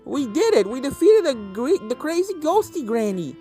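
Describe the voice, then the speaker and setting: A high, bubbly cartoon pony mare, as put on by a narrator, excitable and giddy. She exclaims her lines over background music. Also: moderate pace. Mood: triumphant.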